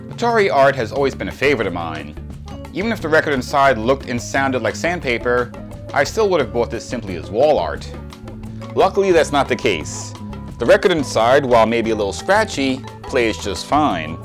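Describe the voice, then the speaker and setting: Wry, animated man in his forties. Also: mid-range voice, fairly quick. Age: early forties. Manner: wry, dry humour. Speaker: record reviewer, presenter. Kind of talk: scripted review narration. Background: music.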